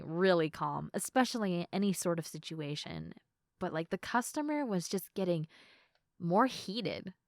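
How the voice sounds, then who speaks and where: A woman playing a sleepy, affectionate girlfriend in an intimate ASMR roleplay, chatting softly. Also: lowish voice, dry recording, studio quality, close to an everyday voice.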